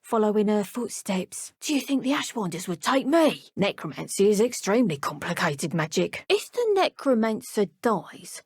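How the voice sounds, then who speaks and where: A woman playing a haughty, menacing dark witch in a video game, with a taunting, contemptuous way of talking. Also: medium pitch, very animated, normal speed, few pauses, husky, dry recording, studio quality. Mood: haughty, musing. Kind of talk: video game combat barks and villain lines.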